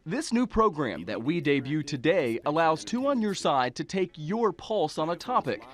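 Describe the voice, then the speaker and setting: A man's voice: a little high, sing-song, normal speed, over music. An American man reading scripted narration for a TV news package as a reporter.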